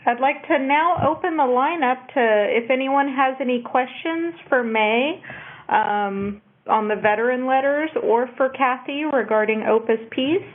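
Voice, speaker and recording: A woman's voice: high voice, measured pace. A woman moderating a networking conference call, speaking over a telephone line. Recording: narrow tinny phone conference line.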